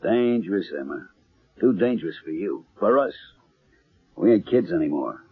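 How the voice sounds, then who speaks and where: A man's voice: deep voice, lively intonation, moderate pace, breathy edge, exaggerated voice. An actor playing a brash, middle-aged male vaudeville hustler with a slangy, teasing manner, in old-time radio drama style. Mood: dismissive, cautioning. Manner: slangy, teasing wise-guy delivery. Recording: thin, narrow-band old radio recording.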